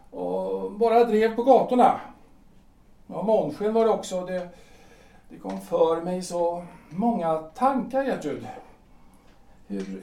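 An anguished middle-aged husband acted by a man in a theatrical period audio drama, set back from the microphone in a roomy space. His voice is high and mellow. He speaks haltingly and insistently, repeating himself as he broods. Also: gravelly, distant mic. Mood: brooding, anguished. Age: 40s. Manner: halting, repeats phrases, emotional.

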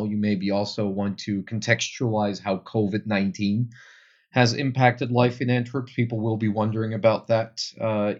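A man's voice: fairly low pitch, muffled recording. A young Flemish man, a Dutch speaker, answering interview questions about tour guiding thoughtfully, with many fillers. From Belgium. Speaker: tour guide guest.

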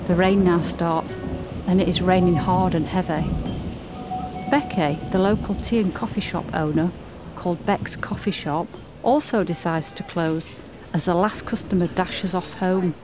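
A woman's voice: fairly low pitch, a little slow. A woman reading scripted storybook-style narration as the narrator of a community radio play. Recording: music playing behind the voice. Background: music.

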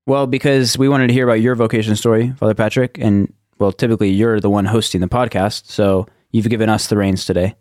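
Fast-talking low-voiced co-host. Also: male voice, fairly even intonation, a little mellow, no echo, clean studio sound. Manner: casual, quick. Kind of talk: podcast interview.

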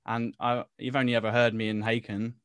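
A man being interviewed as a guest singer, chatty and quick to laugh, often restarting his sentences. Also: medium pitch, flat delivery, smooth, no echo, studio quality. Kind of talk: casual interview chat.

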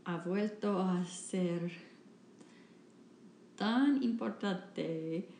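Very slow, halting woman in an echoey room. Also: fairly low pitch, warm tone. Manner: halting, hesitant delivery with restarts. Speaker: podcast host and interpreter. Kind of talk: reading a Spanish interpretation of a church talk. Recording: echoey room with faint background noise.

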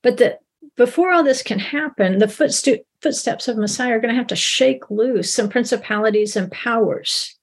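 A woman's voice: on the high side, normal speed, slight background noise. A middle-aged woman teaching a Bible lesson in a conversational way, often restarting her sentences.

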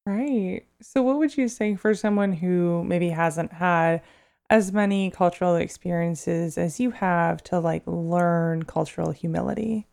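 Gravelly-voiced woman interviewer. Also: lowish voice, unhurried, darkish tone. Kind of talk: interview questions.

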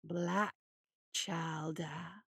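A woman's voice: lowish voice, fairly even intonation, extremely slow, vocal fry, fairly bright tone, breathy edge, dry recording, studio quality, voice pushed down, full character voice. A woman voicing a huge, hungry, blood-soaked male hare monster who murmurs in broken grammar. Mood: menacing.

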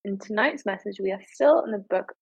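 A dry, slightly lo-fi recording of a woman opening a religious teaching programme from a script. Her voice is husky and sits at a middle pitch. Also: fairly quick, no echo. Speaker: host of a religious teaching series. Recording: dry, slightly dull sound.

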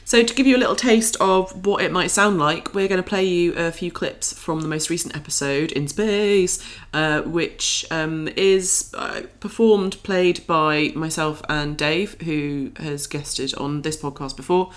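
A woman with a medium-pitched voice, co-hosting a podcast and playing along in a role-playing game in casual chat. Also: unhurried. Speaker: podcast host and game player.

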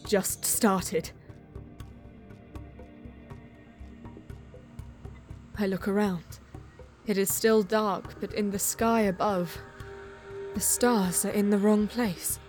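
A woman playing a solemn Eldar bonesinger who narrates her quest in a measured, poetic way in an audio drama. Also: medium pitch, a little mellow, close to an everyday voice. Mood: frustrated. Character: female Eldar bonesinger, solemn, mystical, easily frustrated seeker. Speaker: narrator and lead character. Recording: music under the voice. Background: background music.

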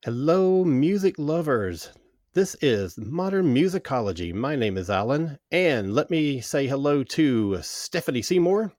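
A man hosting a music podcast, introducing his co-hosts and guest and asking questions in a friendly, measured way. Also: mid-range voice, sing-song, darkish tone, no room sound, studio quality. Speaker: podcast host.

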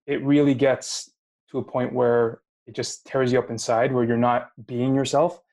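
A man's voice: medium pitch, flat delivery, normal speed, darkish tone. A man in his late twenties with a slight Filipino accent, hosting a podcast and talking casually, often searching for his words. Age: late 20s. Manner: searching for words, many fillers and restarts. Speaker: podcast host. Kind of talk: casual interview chat.

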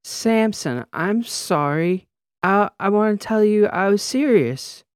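A man voicing a drunken female socialite who slurs and wheedles in a high voice. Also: expressive, smooth, no room sound. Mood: drunk pleading. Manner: slurred, wheedling, drawn-out words. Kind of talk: audiobook character voice.

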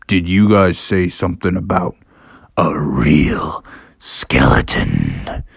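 A storyteller voicing a mysterious father bear in a hushed, breathy whisper for a children's story. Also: low voice, lively intonation, slow, no room sound, clean studio sound, voice pushed down, full character voice.

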